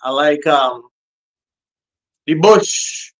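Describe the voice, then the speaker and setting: A man's voice: a little high, drawn-out words, no echo. A middle-aged man with a Trinidadian accent chatting casually as an interview guest, in simple, repetitive, hesitant phrases. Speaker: interview guest, music producer and DJ. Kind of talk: casual chat, reminiscing. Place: remote call, on a phone.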